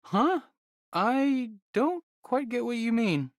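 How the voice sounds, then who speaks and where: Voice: mid-range voice, very animated, takes it slowly, dry recording, clean studio sound. A performer playing a brusque, guarded tavern worker in an anime game. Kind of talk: English anime game voice acting.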